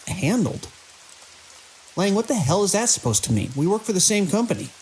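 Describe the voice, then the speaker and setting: An actor playing a grim, embittered security chief in an audio drama, speaking in terse, grave and urgent lines. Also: male voice, high-pitched, very animated, a little fast, darkish tone. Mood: indignant. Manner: terse, grave official log-keeping and urgent radio talk. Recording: faint hiss with rain-like noise.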